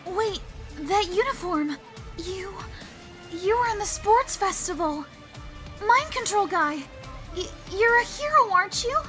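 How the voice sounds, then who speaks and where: A woman's voice: very high-pitched, expressive, a little slow, pauses often, bright, forceful tone, heavily acted. A woman voicing a frightened, earnest young civilian girl in a comic dub. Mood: pained, awed. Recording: music and sirens behind.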